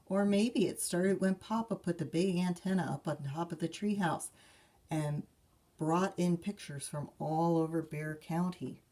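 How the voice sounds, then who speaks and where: A woman reading a picture book aloud to children as a librarian, expressive and measured, with asides to listeners. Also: lowish voice. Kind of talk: children's storybook read-aloud.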